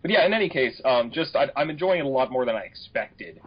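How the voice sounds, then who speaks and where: A man's voice: medium pitch, expressive, fast talker, husky. A man co-hosting a casual podcast chat, rambling and easygoing as he gives his opinions. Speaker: podcast co-host. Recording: dull, lo-fi podcast audio.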